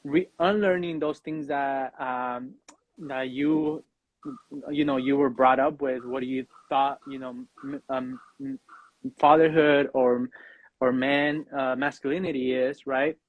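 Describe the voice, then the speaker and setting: A man talking as a podcast guest in a reflective, earnest, halting way, often checking in with "you know" and "right?". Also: medium pitch, no echo, phone-quality sound. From Mexican background. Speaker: podcast guest, fatherhood coach. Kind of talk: reflective personal conversation.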